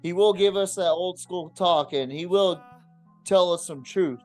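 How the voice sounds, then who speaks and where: A man with a voice a little higher than average hosts a casual sports podcast. He talks bluntly and swears freely, while faint music plays behind him. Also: a little slow. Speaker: podcast host.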